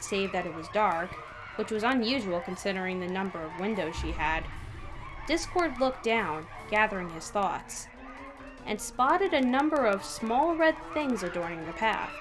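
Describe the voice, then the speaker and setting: A woman reading fan fiction aloud as an expressive third-person narrator with dramatic pauses. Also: mid-range voice. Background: background music.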